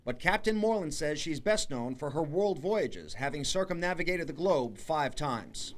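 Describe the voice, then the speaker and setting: A man's voice: fairly high pitch, very animated, moderate pace. A man reporting broadcast news as a television reporter, with an emphatic, punchy delivery. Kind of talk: broadcast news reporting, live stand-ups and scripted packages.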